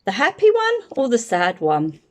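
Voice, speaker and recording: Woman as an eager little girl, high and lively. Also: moderate pace. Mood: eager, welcoming. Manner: earnest, hopeful, chatty. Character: eager, earnest little girl who loves bears. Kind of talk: children's picture book read-aloud.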